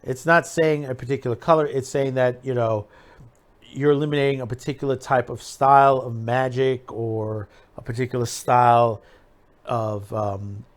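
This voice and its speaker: A man's voice: medium pitch, steady tone, normal speed. A middle-aged American man giving unscripted opinion commentary as a show host, rambling and emphatic, often repeating himself. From United States.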